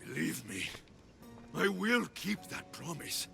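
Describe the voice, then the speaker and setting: A fierce Greek warrior father in a video game, with a gravelly, high-pitched male voice. He is intense and threatening, his pitch swinging widely as he speaks. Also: sing-song, slow, fairly bright tone, faint music behind, heavily acted. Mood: grim threat. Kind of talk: video game cutscene acting.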